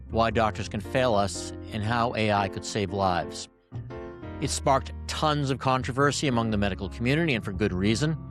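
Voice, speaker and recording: A man who hosts a podcast and works as a doctor, talking to his guest in a friendly, conversational way with frequent fillers. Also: mid-range voice. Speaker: podcast host and physician. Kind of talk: podcast interview.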